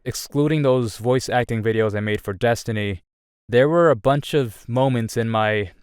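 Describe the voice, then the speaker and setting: A man's voice: medium pitch, normal speed, clear, resonant tone, no echo. A young man giving a candid personal update on his channel, rambling with frequent restarts. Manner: earnest and candid. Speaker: YouTuber.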